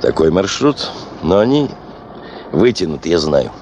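An actor playing a domineering, driven chief of a prospecting expedition in a radio play, self-assured and musing. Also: male voice, deep voice, big pitch swings, a little slow, a little mellow, a little hiss or hum, exaggerated voice. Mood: firm. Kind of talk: Soviet radio play acting.

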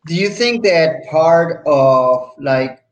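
A man's voice: a little high, expressive, slow. Puerto Rican man interviewing, echoey room. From Puerto Rico. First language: Spanish. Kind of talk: casual interview chat.

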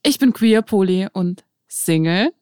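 Young woman podcast co-host, medium pitch, playful. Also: lively intonation, unhurried, darkish tone, no room sound, studio quality. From Saint Petersburg, Russia. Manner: playful, informal, slangy with English words. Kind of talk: casual chat.

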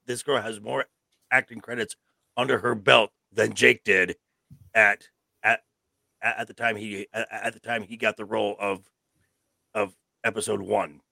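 A man's voice: lowish voice, steady tone, normal speed, vocal fry, long pauses, pushed and bright, dry recording. A man in his fifties co-hosting a podcast, arguing points casually and repeating phrases for emphasis. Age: 50s. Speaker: podcast co-host. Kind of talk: casual panel chat reviewing a show.

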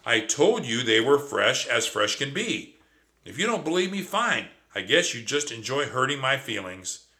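A man voicing a brash, greedy male mouse who is boastful, whiny and squeaky. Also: a little slow. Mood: indignant. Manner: squeaky, loud, mocking.

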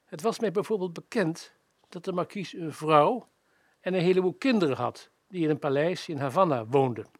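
A man playing an observant hotel employee who narrates gossip in a radio play, deliberately. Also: fairly high pitch, slightly rough, no echo. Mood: confiding.

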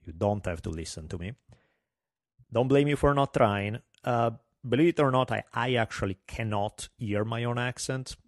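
A man's voice: mid-range voice, normal speed, dry recording, clean studio sound. A middle-aged man with a heavy Italian accent, hosting a solo podcast in a candid, wry, self-deprecating way. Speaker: podcast host.

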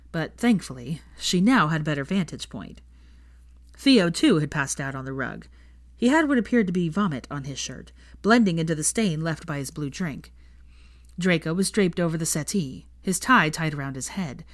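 A woman reading fan fiction aloud as an expressive scripted story narration. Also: deep voice, dry recording, studio quality.

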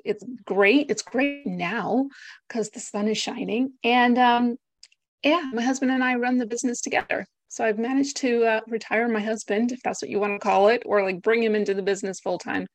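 A woman's voice: on the high side, steady tone, normal speed, dry recording. A woman in her thirties with an American accent, a podcast guest who talks in an upbeat, chatty way, often tagging on "right?" and "like". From United States. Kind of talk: podcast interview.

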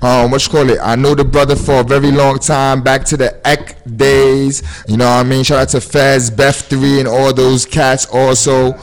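A man hosts a casual podcast, and his voice sits at an ordinary middle pitch. He talks in a loose, rambling way, full of slang and little fillers. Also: level tone, normal speed, few pauses, rough recording. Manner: laid-back, rambling, with fillers and street slang. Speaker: podcast host.

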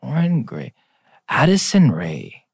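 A man's voice: medium pitch, wildly swooping pitch, slow pace, gravelly, husky, dry recording, studio quality. A man in his late twenties co-hosting a podcast, chatting casually and teasing his co-host. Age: young adult. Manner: playful, teasing, reads out lists. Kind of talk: casual chat.

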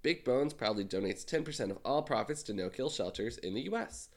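A man co-hosting a casual podcast, sounding congested and ill, with dry deadpan jokes. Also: medium pitch, a little fast. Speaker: podcast co-host. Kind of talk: casual chat and read ad.

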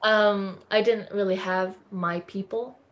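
A woman's voice: mid-range voice, fairly even intonation, takes it slowly. A woman from California, a podcast guest talking in an effusive, earnest way in a casual interview chat.

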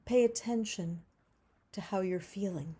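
A woman leading a prayer service, reading liturgy and scripture in a solemn, measured, deliberate way. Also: mid-range voice, mellow, husky.

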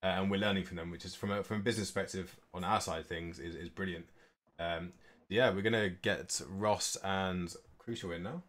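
A man with a low voice and a fairly flat delivery hosts a casual business update. He talks informally and off the cuff, feeling his way through his points a little hesitantly. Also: brisk. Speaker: esports organisation co-owner hosting an update stream.

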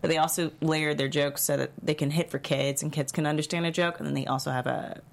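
A woman's voice: low-pitched, fairly even intonation, quick pace, vocal fry. A woman on a discussion panel, chatting casually about the work at hand.

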